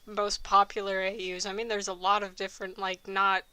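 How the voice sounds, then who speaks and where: A woman's voice: medium pitch, level tone, fairly quick, bright, forceful tone. A woman giving casual, joking commentary on a story, teasing and exclaiming over its flaws. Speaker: co-host commenting on fanfiction.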